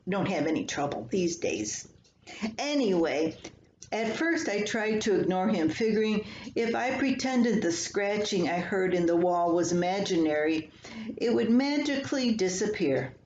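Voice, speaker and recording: An older woman reading her own humorous personal column aloud in a folksy, unhurried storytelling manner. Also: mid-range voice, animated, darkish tone. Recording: echoey room, slightly dull, faint hiss.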